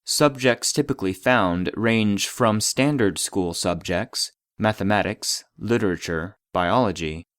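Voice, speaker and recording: A database reading secret files, lowish voice, super formal n clinical, very dry sound. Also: male voice, unhurried, few pauses, fairly bright tone, no room sound. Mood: clinical, detached. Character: impersonal male database voice reading classified anomaly files. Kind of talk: scripted narration of a formal report.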